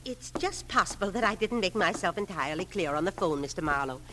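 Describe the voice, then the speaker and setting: A woman's voice: on the low side, animated, quick pace, fairly bright tone, a little hiss or hum. A woman playing a genteel, fussy, proud elderly widow in 1950s radio drama, hesitant and overly precise. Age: older.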